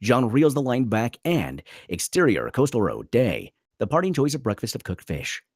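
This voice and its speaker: A man's voice: medium pitch, huge pitch swings, moderate pace, slightly rough, dry recording, clean studio sound. A man narrating a screenplay dramatically at a table read.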